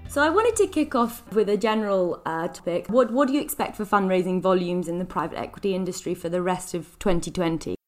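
A woman's voice: lowish voice, lively intonation, fairly quick, few pauses, soft background music. A woman hosting a podcast, introducing guests and asking interview questions.

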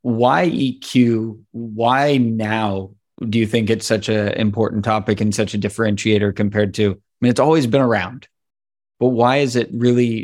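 A man's voice: on the low side, darkish tone. A man hosting a podcast interview, speaking thoughtfully with hesitant restarts and open enthusiasm. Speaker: podcast host.

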